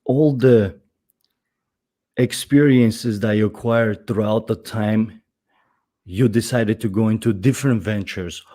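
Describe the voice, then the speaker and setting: A man in his thirties from Armenia hosts a casual interview over a video call. His voice sits low and sounds warm and mellow. He circles his questions with plenty of hesitations, fillers and restarts. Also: slow pace, long pauses. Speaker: podcast host.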